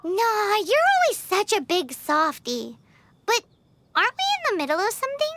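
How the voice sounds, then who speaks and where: A woman voicing a small, excitable, bossy fairy companion in a game dub, with a squeaky childlike voice. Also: normal speed, no echo, heavily acted. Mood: impatient. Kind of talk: English anime game dub.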